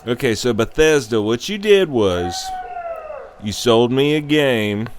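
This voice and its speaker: A man's voice: medium pitch, animated, measured pace, noisy background. A man in his thirties hosting a show, giving a blunt, profane, casual rant. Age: 30s. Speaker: podcast host. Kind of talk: casual opinionated gaming rant.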